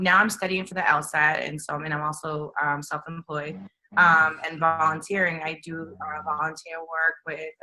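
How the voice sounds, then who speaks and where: A woman in her forties from Puerto Rico introducing herself on a webinar, halting and correcting herself as she goes. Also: sits low, pushed and bright, poor audio. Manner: halting, self-correcting, laughing lightly. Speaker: panelist.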